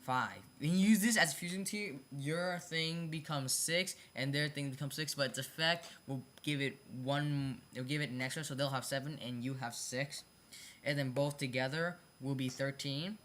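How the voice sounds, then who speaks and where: A hobbyist video creator with a sharp, bright voice explains card designs in a casual, unscripted way. They stumble over phrasing, circle back to repeat points and apologize for their own slips. The pitch sits in a middle range. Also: moderate pace, slight background noise. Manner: repetitive, self-correcting, stumbles over phrasing; apologetic about own mistakes.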